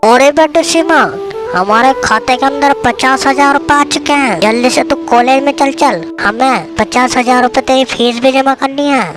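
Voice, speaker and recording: A woman's voice: high-pitched, moderate pace, close to an everyday voice. A woman voicing a flustered, scheming middle-aged village father in debt, in a cartoon story. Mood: eager. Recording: music behind the voice.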